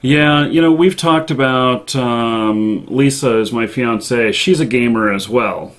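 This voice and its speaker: A man hosts a casual gaming livestream in an ordinary mid-range voice. He talks informally and haltingly, pausing to gather his thoughts as he goes. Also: mid-range voice. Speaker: livestream show host.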